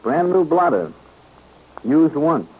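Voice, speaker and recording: An actor playing a cool, sly private detective in 1940s radio style, measured and insinuating. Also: male voice, fairly high pitch, big pitch swings, husky, full character voice. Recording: muffled, noisy old radio recording.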